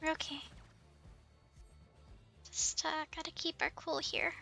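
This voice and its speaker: High-pitched woman streaming a game. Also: little pitch movement, slow, creaky, pushed and bright. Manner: giggly, easily flustered, self-talking. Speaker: livestreamer. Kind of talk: casual live gameplay commentary. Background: background music.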